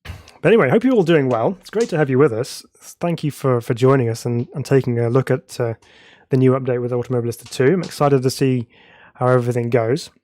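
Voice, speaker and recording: A man's voice: medium pitch, a little fast, a little mellow, dry recording. A man, stuffed up from a cold, giving chatty live commentary while driving a racing sim and reading out viewer chat. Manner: chatty and self-laughing, reads viewer chat aloud. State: congested from a cold.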